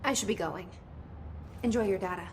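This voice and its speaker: A woman's voice: mid-range voice, brisk, a little hiss or hum, close to an everyday voice. A young woman voicing a determined, dry-humored game heroine. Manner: dry, understated. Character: determined young female bureau director.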